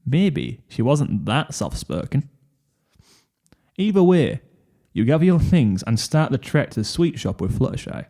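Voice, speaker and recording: A man's voice: mid-range voice, sing-song, unhurried, mellow. A man narrating a second-person fan fiction story.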